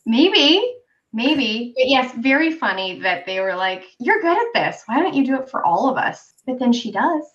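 A woman's voice: on the high side, very animated, moderate pace, fairly bright tone, highs cut off. A chatty woman with a young-sounding voice, a podcast guest in casual conversation over a remote call. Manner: chatty, laughs easily. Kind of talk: casual chat.

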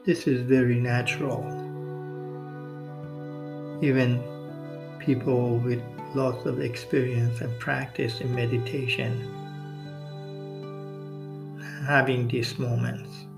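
A man hosting a guided meditation podcast, speaking non-native English in short, measured phrases with long pauses. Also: medium pitch, level tone, vocal fry. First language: not English. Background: music.

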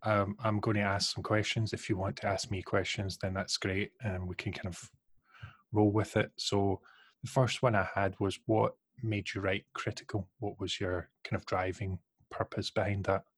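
Brief: male host, oddly deep flat voice over a video call, halting delivery. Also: husky, no echo. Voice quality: unusually deep voice from a call glitch. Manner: hesitant, many fillers and restarts. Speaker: podcast host. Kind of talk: podcast intro and interview questions.

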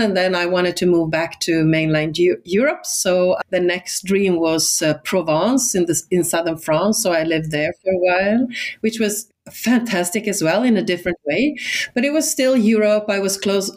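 A middle-aged woman from Sweden with a Swedish accent, a native Swedish speaker, telling personal stories in a casual, enthusiastic interview style. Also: mid-range voice. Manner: enthusiastic, expressive. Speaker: interview guest, primary school teacher. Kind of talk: casual conversational storytelling.

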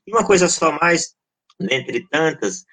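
A man's voice: on the high side, sing-song, a little slow, pushed and bright, slightly rough. A middle-aged Brazilian man, a pastor and social scientist, answering interview questions in an earnest, passionate way with emphatic lists and frequent restarts. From Brazil, born in Maranhão and raised in Goiás.

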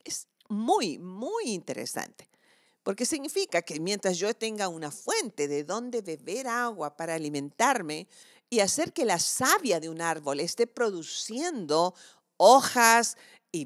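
Female devotional preacher. Animated pitch, reflective and emphatic delivery, conversational sermon style, dry sound. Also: dry recording. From southern Chile.

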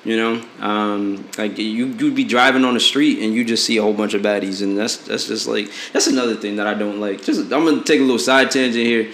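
A man's voice: fairly low pitch, brisk, hardly any pauses, a bit bright. A man in his late twenties co-hosting a podcast, giving blunt relationship advice in a rambling way, full of fillers and restarts. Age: 20s. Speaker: podcast co-host. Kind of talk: casual advice chat. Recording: echoey room with faint noise.

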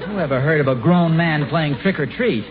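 A man's voice: a little high, brisk, a loud crowd, close to an everyday voice. An American man playing a mild, easily flustered suburban husband and father in an old-time radio sitcom, with a hesitant, mildly exasperated manner. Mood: puzzled. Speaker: actor in a radio comedy. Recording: tinny old radio broadcast, loud noise, audience.

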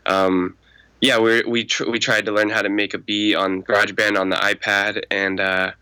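A man in his twenties with a voice raspy from a cold, answering questions in a laid-back, rambling way with many fillers. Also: lowish voice, flat delivery, normal speed, bright, forceful tone, rough recording. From Arizona. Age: 20s. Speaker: guest, music producer. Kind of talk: casual podcast interview.

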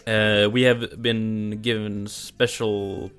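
A man from Norway with a Norwegian accent, co-hosting a casual film review podcast, halting with restarts and laughs. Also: lowish voice, little pitch movement, a bit bright, clear, resonant tone, faint music behind. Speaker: podcast co-host.

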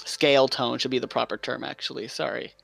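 A man running a tabletop game as game master, narrating scenes in a drawn-out way and laughing often with his players. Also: medium pitch, fairly even intonation, gravelly, a bit bright, faint music behind.

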